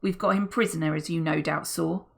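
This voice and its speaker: A woman's voice: deep voice, steady tone, fairly quick, gravelly. Woman voicing a menacing armed thug. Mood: gruff. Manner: loud, hard, threatening. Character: menacing armed male criminal, gruff and threatening.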